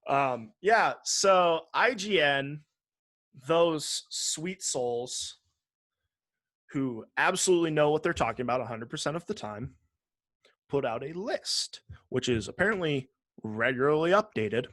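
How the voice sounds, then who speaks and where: A man co-hosting a gaming podcast in casual chat, joking and sarcastic, swearing freely and often halting to rephrase. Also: on the high side, expressive, fairly bright tone, no room sound. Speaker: podcast co-host.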